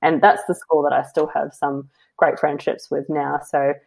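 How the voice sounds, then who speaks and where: A woman's voice: deep voice, flat delivery, normal speed, gravelly. A woman, talking openly and reflectively as a guest, with many fillers. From New Zealand. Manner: open, rambling, self-reflective with frequent fillers and soft laughs. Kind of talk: casual conversational interview.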